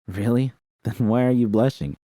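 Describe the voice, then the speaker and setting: A nervous young woman played by a man in an audiobook story, pitched well above a deep male register. The speech is hesitant and hopeful with a teasing edge, and the recording is close and dry. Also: fairly even intonation, normal speed, dark tone, dry recording, natural voice.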